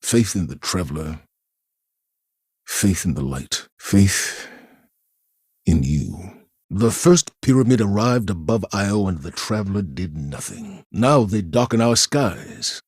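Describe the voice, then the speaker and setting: An actor playing a stern, weary commander in a video game, speaking gravely and formally in measured phrases. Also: male voice, sits low, animated, a little mellow, no room sound, clean studio sound, full character voice. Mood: grave, resolute. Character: stern, weary, dutiful male military commander. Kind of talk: dramatic video game voice acting.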